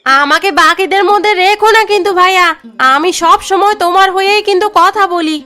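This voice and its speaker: An outspoken young sister in a cartoon fairy tale, put on by a woman. Her voice is distinctly high, and music plays behind her dramatic dialogue. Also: fairly quick, sharp tone. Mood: defensive.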